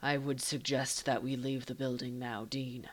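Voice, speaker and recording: A woman voicing a calm, seductive man who speaks in a formal, measured, teasing way in an audiobook romance. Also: a bass voice, pitched below the performer's own voice. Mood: low, commanding, teasing. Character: calm, commanding, seductive male lover, low and deliberate.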